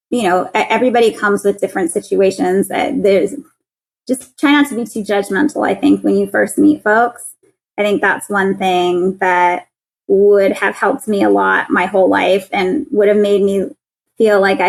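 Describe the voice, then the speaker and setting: A woman in her thirties whose voice sounds young, speaking at an ordinary middle pitch. She talks in an earnest, conversational way as an interview guest, often laughing and restarting her words. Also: gravelly, poor audio. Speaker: guest, patent attorney.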